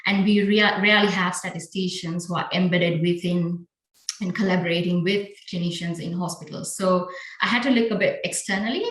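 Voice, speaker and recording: A woman's voice: on the low side, steady tone, brisk, fairly bright tone, roomy sound, rough recording. A young woman from Sri Lanka, a biostatistician, giving a friendly talk with slides and many fillers. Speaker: conference speaker, biostatistician.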